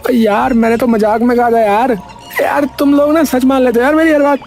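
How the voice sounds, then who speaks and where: A man's voice: very high-pitched, level tone, fairly quick, a little mellow, soft background music. A man voicing a timid young village friend in a cartoon story, whining and pleading in slangy speech. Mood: protesting.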